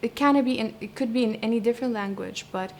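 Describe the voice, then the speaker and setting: A young woman from Iraq, a poet and translator with a Kurdish accent, answering interview questions in a reflective way with many pauses and restarts. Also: fairly high pitch, darkish tone, a little hiss or hum. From Iraq, Kurdish region.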